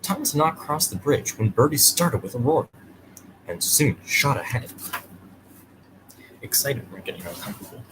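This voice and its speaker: A man's voice: medium pitch, big pitch swings, moderate pace, pauses often, noisy background. A man hosting and reading a children's bedtime story aloud. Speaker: storyteller and host.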